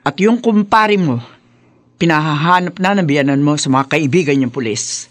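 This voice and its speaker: An actress playing a stern, sharp-tongued but devoted older mother in a radio drama, with a Filipino accent and a blunt, admonishing manner. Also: low voice, moderate pace, lightly acted. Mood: firm.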